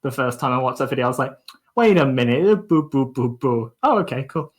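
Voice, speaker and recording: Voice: mid-range voice, lively intonation, fairly quick. A young adult chatting casually as co-host of a live stream. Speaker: co-host of a student gaming charity-style stream.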